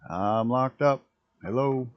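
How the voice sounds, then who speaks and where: A man with a rough early-morning voice, chatting casually and rambling as he streams a flight simulator. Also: medium pitch, slow, dull audio. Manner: rambling, self-interrupting, chuckling at mishaps. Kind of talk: casual live-stream commentary.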